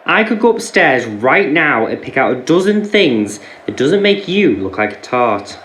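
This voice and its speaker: A man's voice: mid-range voice, sing-song, unhurried, fairly bright tone. A man with a British accent playing a controlling, jealous, abusive husband in an audio drama, aggressive and sneering as he interrogates. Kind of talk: naturalistic audio drama acting. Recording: roomy sound, faint background noise.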